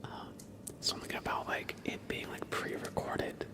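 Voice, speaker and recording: Whispering man doing casual ASMR. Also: moderate pace. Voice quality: whispered, breathy soft voice. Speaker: livestream host, ASMR creator. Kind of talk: ASMR, casual live chat.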